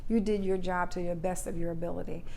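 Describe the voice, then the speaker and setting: A woman from New Jersey with a raspy voice, talking earnestly as a guest about her life in public service. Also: on the low side, quick pace, slight background noise. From Trenton, New Jersey, US. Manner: earnest, heartfelt, sometimes animated. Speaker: state assemblywoman, podcast guest. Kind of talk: casual interview storytelling.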